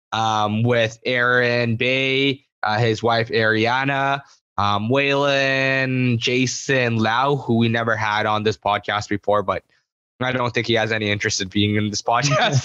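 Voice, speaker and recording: A man's voice: mid-range voice, no echo, muffled recording. A young man co-hosting a real estate podcast in casual chat, rambling with fillers on a close studio mic. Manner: relaxed, rambling with fillers and swearing. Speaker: podcast co-host. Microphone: new studio mic, close.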